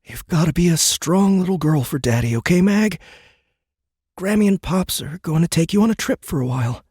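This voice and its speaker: A man playing a loving, sad young father in an audiobook drama, speaking gently to a small child. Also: on the high side, lively intonation, normal speed, husky, no echo, studio quality, pitched above the performer's own voice. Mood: tender reassurance. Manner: tender, gentle. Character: loving, sorrowful young father talking to his small daughter.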